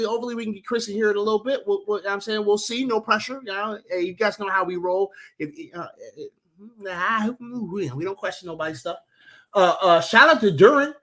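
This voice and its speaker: A high-pitched man hosts a loose sports talk stream, cursing freely as he rambles through shout-outs. His voice is bright and forward, and he often restarts his sentences before landing the point. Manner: profane, rambling, with many restarts.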